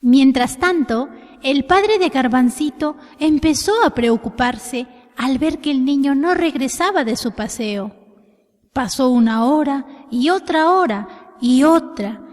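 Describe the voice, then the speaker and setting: A woman narrating a scripted children's tale in an expressive storytelling style. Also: high voice. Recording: long hall reverb.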